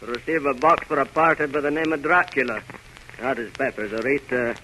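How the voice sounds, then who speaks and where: An actor playing a rough working man giving blunt, clipped testimony in an old radio drama. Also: mid-range voice, fairly quick, sharp tone, natural voice. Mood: blunt, forthright. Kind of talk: 1930s radio drama acting. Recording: thin, slightly noisy old radio broadcast.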